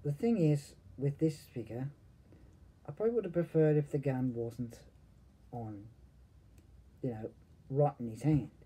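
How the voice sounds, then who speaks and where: A man in his forties casually reviewing a toy at home, hesitant and often trailing off quietly, recorded on a webcam. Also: mid-range voice, unhurried, pauses often, breathy edge, low-quality recording. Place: home room full of toys and posters.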